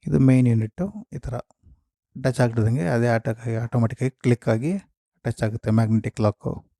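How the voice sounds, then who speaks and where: Man with a dark, mellow, creaky voice. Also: mid-range voice, fairly even intonation, brisk, dry recording, studio quality. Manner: explanatory, step-by-step, mixing in English terms. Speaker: host of a gear unboxing and review video. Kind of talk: casual product walkthrough.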